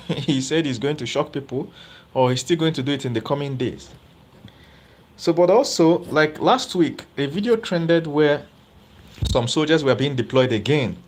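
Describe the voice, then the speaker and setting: A man's voice: on the high side, normal speed, slight background noise. A man giving live political commentary as host, chatty and often checking in with viewers. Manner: conversational, asks viewers rhetorical questions.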